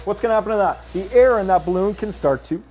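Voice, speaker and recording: A middle-aged man talking as a high school science teacher, explaining to students and in interview. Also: fast, darkish tone, slight background noise, poor audio. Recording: clear music behind the voice.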